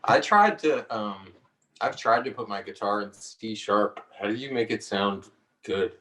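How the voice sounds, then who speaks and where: A low-voiced man chats hesitantly about songwriting, laughing easily between his thoughts. The sound comes over a video call. It is roomy and a little distant from the mic. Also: lively intonation, unhurried, bright, forceful tone. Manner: hesitant, laid-back, laughs often. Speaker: singer-songwriter guest. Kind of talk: relaxed conversational interview.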